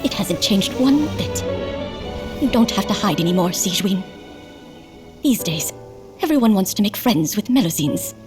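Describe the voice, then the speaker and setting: A woman's voice: mid-range voice, animated, moderate pace, pauses often, close to an everyday voice. Woman playing a tender old lady, music behind. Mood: grateful. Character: elderly human lady, grateful, tender, wise. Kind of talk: video game cutscene acting.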